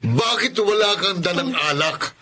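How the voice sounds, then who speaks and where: A violent drunkard husband in a radio drama. His highish, bright, forceful voice snarls and swoops as he hurls insults. He is contemptuous and bullying, with noise behind him. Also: expressive, measured pace, full character voice. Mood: angry, contemptuous.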